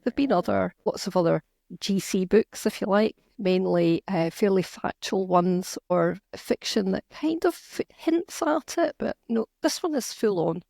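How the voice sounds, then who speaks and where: Earnest Scottish woman with gravelly fry. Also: medium pitch, animated, measured pace, dark tone, dry recording, studio quality. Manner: earnest, emphatic, with restarts. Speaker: author and guest. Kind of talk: conversational interview.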